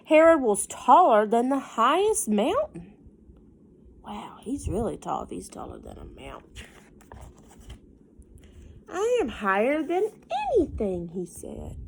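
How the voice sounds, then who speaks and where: A woman reads a storybook to little kids at a slow pace. Her pitch moves in lively swings, and she often steps out of the text to explain things simply or ask the listeners a question. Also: gravelly, slight background noise. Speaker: teacher reading a picture book aloud to children. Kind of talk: children's read-aloud with casual asides and questions.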